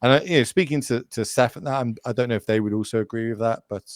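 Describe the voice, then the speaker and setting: A man's voice: mid-range voice, rapid-fire. A man in his late thirties, a podcast guest chatting casually and going off on tangents, with lots of fillers and restarts. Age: 30s. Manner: rambling, goes off on tangents, many fillers and restarts. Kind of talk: casual chat.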